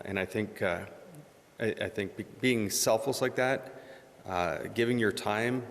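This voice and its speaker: A middle-aged man with a deep, soft voice that doesn't carry. He talks haltingly and self-deprecatingly, filling gaps with "uh," his words roughened by gravel. A long hall reverb trails behind him. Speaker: board member. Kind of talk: informal remarks at a public meeting. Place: community meeting room. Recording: echoey meeting hall.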